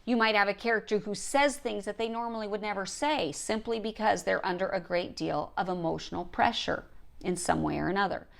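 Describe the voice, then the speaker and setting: A woman's voice: mid-range voice, vocal fry. A woman giving an instructional talk as a writing coach, speaking directly and emphatically, repeating key points for stress. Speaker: writing coach and show host.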